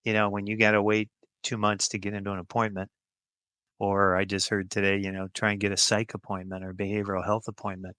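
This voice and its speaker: A man's voice: on the low side, level tone, a little fast, bright, forceful tone, dry recording. A middle-aged or older American man answering interview questions in an informal, plain-spoken and emphatic way. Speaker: podcast guest, healthcare executive.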